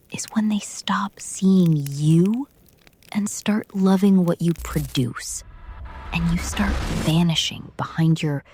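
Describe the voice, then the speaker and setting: Slow, flat, haunted teenage girl, played by a woman. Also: medium pitch, background noise. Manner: flat, detached. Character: Lyra, flat-voiced, haunted fourteen-year-old girl. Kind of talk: dramatic audio-story acting.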